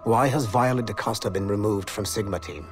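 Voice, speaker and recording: Man voicing a deep, stern, calculating Templar commander. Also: lightly acted. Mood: firm objection. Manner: measured, deliberate, formal delivery. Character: stern, zealous male Templar field commander, calculating and proud. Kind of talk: scripted video game monologue and dialogue. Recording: loud music behind. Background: loud music.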